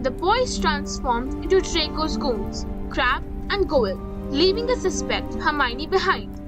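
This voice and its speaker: A woman narrates a scripted recap of a story. Her voice is notably high, and music plays clearly underneath her reading.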